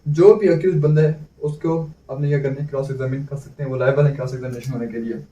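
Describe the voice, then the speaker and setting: A man's voice: medium pitch, fairly even intonation, quick pace, dark tone. A man with a Pakistani accent giving an explanatory exam-prep lecture as a teacher, often asking himself questions and answering them. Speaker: law teacher. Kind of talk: explanatory exam-prep lecture in Urdu mixed with English terms. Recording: dull, echoey room, distant mic.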